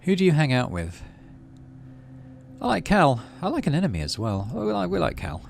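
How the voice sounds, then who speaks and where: A man's voice: a little high, huge pitch swings, moderate pace, gravelly, a little hiss or hum. A man playing through a video game, reading the story aloud and musing to himself as he decides. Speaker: gaming video host. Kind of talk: game playthrough commentary and read-aloud narration. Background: game music.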